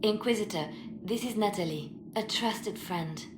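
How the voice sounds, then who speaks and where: An actress playing a guarded, ruthless female spymaster in a fantasy game, with a measured, cutting delivery. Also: mid-range voice, background noise, lightly acted. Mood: surprised, pleased. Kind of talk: dramatic fantasy game acting. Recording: roomy echo under background music.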